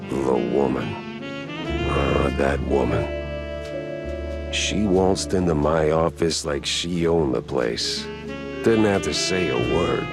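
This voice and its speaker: An actor playing a weary, wisecracking hardboiled mouse detective in a noir video game, deadpan and world-weary. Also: male voice, a bass voice, heavily acted. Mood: wistful, brooding.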